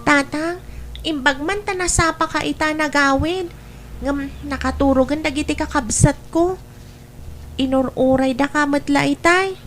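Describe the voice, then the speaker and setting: A plaintive young daughter, played by an actress in an audio drama, speaking in a high voice with a northern Philippine accent. She is soft and dutiful, longing for her father. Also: unhurried, soft background music, rough recording. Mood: gentle greeting.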